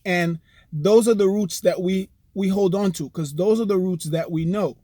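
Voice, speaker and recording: A man speaks straight to his audience in an earnest, persuasive way. His voice sits a bit high, and the sound is close and dry with no room echo. He often fills with "you know" and starts his sentences over. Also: moderate pace, dark tone. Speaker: vlogger. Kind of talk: direct-to-camera commentary.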